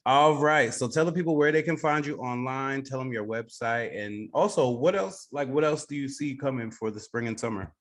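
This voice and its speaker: A man's voice: mid-range voice, normal speed, hardly any pauses. A man hosting a podcast, interviewing a guest in a casual conversational way. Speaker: podcast host.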